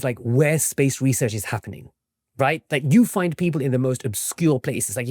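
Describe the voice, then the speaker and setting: A man's voice: medium pitch, a little mellow, dry recording, studio quality. A man in his forties from England, explaining things conversationally as an interview guest, enthusiastic and often seeking agreement. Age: 40s. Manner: enthusiastic, often checks with "right?". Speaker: interview guest, researcher and author.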